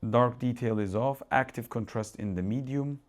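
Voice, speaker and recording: A man from Turkey with a Turkish accent, a tech reviewer talking casually through settings as he changes them, thinking aloud with restarts and asides in a dark home cinema room. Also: medium pitch, moderate pace. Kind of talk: casual live product demo.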